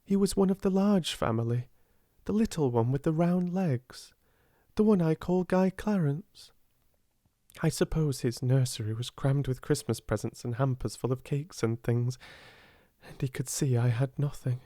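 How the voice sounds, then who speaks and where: Woman playing a high-voiced, passionate young schoolgirl. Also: normal speed, mellow, no room sound, clean studio sound. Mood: fond. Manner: fervent, emphatic.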